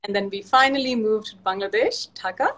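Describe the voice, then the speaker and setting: A woman's voice: mid-range voice, brisk, a bit bright. A woman, chatting as an interview guest, laughing often and restarting her sentences. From Pakistan. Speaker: interview guest, fashion designer. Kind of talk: casual video call interview.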